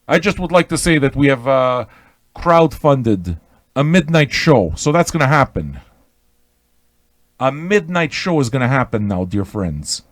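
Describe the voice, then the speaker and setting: Brash higher-pitched man ranting on radio. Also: no echo. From Montreal, Quebec. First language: Italian. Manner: brash, profane, combative; emphatic, repeats phrases and checks in with "okay?". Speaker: online pirate radio host. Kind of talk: off-the-cuff ranting monologue.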